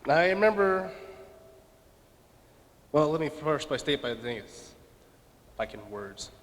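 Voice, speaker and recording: A man's voice: fairly high pitch, very animated, measured pace, fairly bright tone. A young man from Nevada, a student giving a self-deprecating speech with joking asides at a donor event. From Las Vegas, Nevada. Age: young adult. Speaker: student speaker. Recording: reverberant hall.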